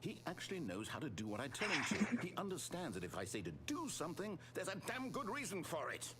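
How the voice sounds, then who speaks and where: A controlling male storyteller narrating a video game. He is articulate and condescending, with a theatrical, wounded streak. His pitch swings dramatically from phrase to phrase. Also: huge pitch swings, a little fast, fairly bright tone, a little hiss or hum. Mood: bitter.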